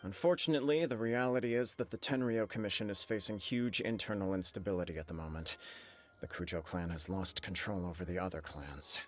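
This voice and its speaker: Male actor as dutiful clan heir; low, sincere, serious. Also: fairly quick, faint music behind, lightly acted. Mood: grave. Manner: earnest, heavy with duty. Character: young male noble clan heir, earnest and self-reproaching. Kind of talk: game cutscene dialogue.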